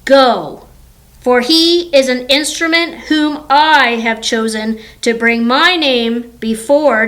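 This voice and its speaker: A woman voicing a commanding, solemn God in a Bible story read aloud for children, loud and emphatic in her delivery. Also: high-pitched, exaggerated voice.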